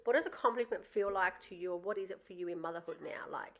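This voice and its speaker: A woman's voice: lowish voice, fast talker, a bit bright. A woman co-hosting a podcast in casual conversation, chipping in with agreement and support. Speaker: podcast co-host.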